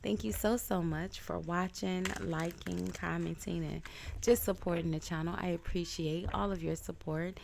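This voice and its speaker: A woman giving a casual tarot reading, stuffed up with a cold, talking in a chatty, halting way with many ums. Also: lowish voice, fairly even intonation, vocal fry, noisy background. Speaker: tarot reader.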